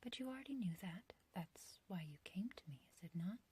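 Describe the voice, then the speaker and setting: A woman playing an ancient, beautiful witch in an ASMR roleplay, obsessively loving and menacing, speaking in a breathy whisper with archaic, theatrical phrasing. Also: medium pitch, lively intonation, warm tone. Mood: sly, teasing. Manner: slow, theatrical, archaic wording, doting yet condescending.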